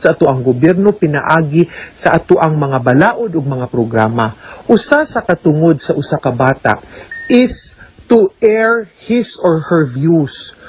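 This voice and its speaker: Husky-voiced woman lawyer explaining patiently. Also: deep voice, dull audio. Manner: patient, explanatory, tags points with "no?" and "okay?". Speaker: lawyer giving legal advice on radio. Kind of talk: radio legal advice, answering a listener's letter.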